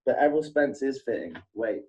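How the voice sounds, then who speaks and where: A man's voice: mid-range voice, animated, moderate pace, a little mellow, distant mic, lo-fi sound. Battle-rapping man with a southern English accent. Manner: aggressive, insult-heavy rhymed delivery. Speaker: battle rapper. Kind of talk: battle rap verses.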